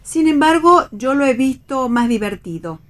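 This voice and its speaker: A woman's voice: high voice, lively intonation, normal speed. An actress playing a chatty, inquisitive and naive mother with old-fashioned, homely tastes in a radio play reading. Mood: chatty, curious. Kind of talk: radio read theatre dialogue.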